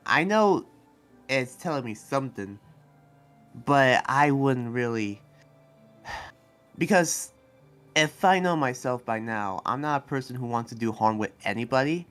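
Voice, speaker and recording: A man's voice: mid-range voice, lively intonation, a little slow, pauses often, a bit bright, soft background music. A man playing an earnest, protective demon fighter in an improvised anime game roleplay, talking in a hesitant, rambling way. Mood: troubled, earnest. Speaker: roleplay series player.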